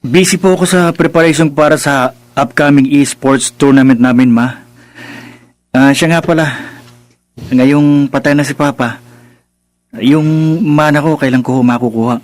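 An actor playing a self-absorbed, impatient young son who casually mixes in English in a Filipino radio drama. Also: male voice, mid-range voice, fairly even intonation, unhurried, pauses often, no room sound, lightly acted. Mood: indifferent, entitled. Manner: casual, mixing in English words.

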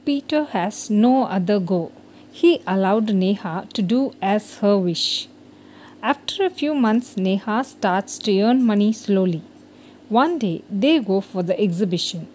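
A woman's voice: medium pitch, animated, unhurried, a little mellow, a little hiss or hum, low-quality recording. A woman reading a scripted moral story for children as its narrator.

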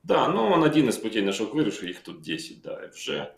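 A middle-aged man on a video call from home, calmly walking through a chess game move by move with many fillers. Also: medium pitch, quick pace, creaky. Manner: calm, reflective, calling out moves with many fillers. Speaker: chess player recalling his own game. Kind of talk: analytical interview talk. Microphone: video call microphone. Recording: roomy video-call audio.

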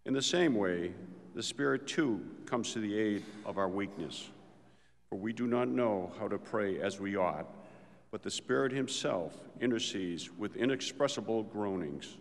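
A middle-aged man reading scripture as lector at a church lectern, measured and deliberate. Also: on the low side, creaky. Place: large church, indoors. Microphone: lectern microphone. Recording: long church reverb.

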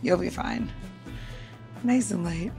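A woman with noticeable vocal fry talks through a cocktail recipe in a casual podcast style. She is chatty and informal, poking fun at herself, with music faintly behind her. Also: on the low side, takes it slowly, mellow. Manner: informal, chatty, self-deprecating. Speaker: podcast co-host and bartender.

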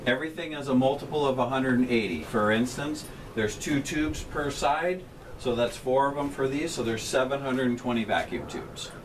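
A man's voice: mid-range voice, normal speed. Middle-aged man explaining gear, distant mic. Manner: plain-spoken, technical, gestures at the equipment. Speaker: transmitter technician. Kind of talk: explaining equipment on a guided tour. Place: inside a metal equipment shelter, small group standing close. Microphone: camera held by a visitor a few feet away. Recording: distant camera mic with background hum.

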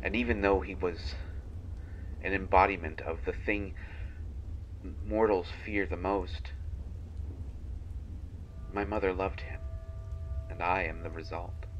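A man voicing a kindhearted, haunted young banshee in a dramatic monologue, halting between phrases as if confessing. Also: deep voice, steady tone, highs cut off, slight background noise. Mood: somber, regretful. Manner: halting, hushed confession with long pauses. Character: kindhearted young male banshee, half-fey, haunted and menacing.

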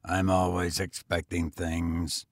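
A man voicing a weary, bitter gentleman tramp in a story, speaking in a grim, resigned, subdued manner. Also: low voice, measured pace, husky, dry recording, studio quality, pitched below the performer's own voice, full character voice.

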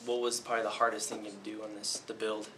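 A young man hosting a casual desk interview as a flying instructor, relaxed and encouraging, with lots of ums and uhs. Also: fairly low pitch, fast, slight background noise. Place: small indoor studio set at a desk.

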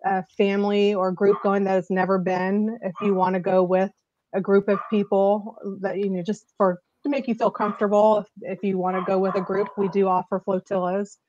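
A woman's voice: mid-range voice, flat delivery, a little fast, dark tone, slight background noise. Chatty woman on a muffled video call. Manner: chatty, upbeat, many fillers. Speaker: charter agent. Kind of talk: casual live video chat. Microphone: wired earbuds on a video call.

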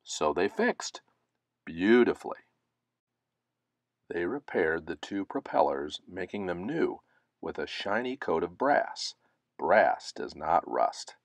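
A man reading a children's picture book aloud, phrasing it deliberately and stressing key words. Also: low-pitched, vocal fry, no room sound, studio quality. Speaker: storybook reader.

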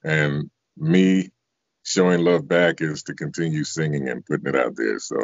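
A man's voice: a bass voice, fairly even intonation, moderate pace, no room sound. A man in his fifties, a singer and voice actor, answering interview questions in a reflective, conversational way. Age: 50s. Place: indoor room, video call. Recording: dull, lo-fi video call audio.

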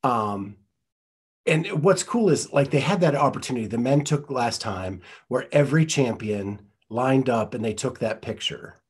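A male podcast host with a slightly husky voice at a middle pitch. He asks his questions with easy, friendly enthusiasm, speaking into a studio mic in a home studio. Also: animated, normal speed. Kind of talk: casual interview. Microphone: studio mic in front.

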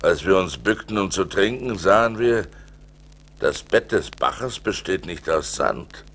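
An actor playing a male seafarer who tells his adventures in a slow, theatrical radio-play style, with dramatic swings, on an old vinyl record. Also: sits low, lively intonation, exaggerated voice. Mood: excited. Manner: dramatic, slow, swinging between hushed and exclaiming.

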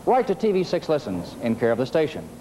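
A man in his fifties gives a scripted station message to viewers outdoors. He speaks quickly in a mellow, dark voice with faint noise behind him. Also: fairly high pitch, huge pitch swings, poor audio. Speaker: TV station general manager. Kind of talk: scripted public address to viewers. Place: outdoors in a garden courtyard.

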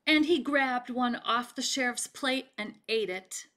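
A middle-aged woman reading a children's story aloud with deliberate, dramatic expression. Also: a little high, a bit bright. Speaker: picture book reader. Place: home kitchen, indoors.

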